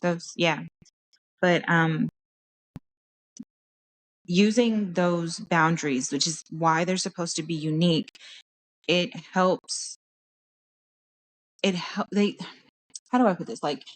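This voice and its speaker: A woman hosting a casual solo podcast, her voice hoarse from crying, talking in a tired, meandering way and stopping to search for words. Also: lowish voice, slow pace, pauses often, low-quality recording. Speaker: podcast host. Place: at home.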